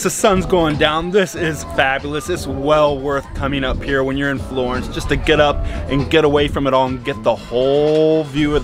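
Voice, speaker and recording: An enthusiastic, emphatic man in his forties presenting an upbeat travel vlog to a handheld camera outdoors. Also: medium pitch. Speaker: travel vlogger. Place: outdoors, city streets and an open rooftop terrace. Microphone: handheld camera at arm's length. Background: music.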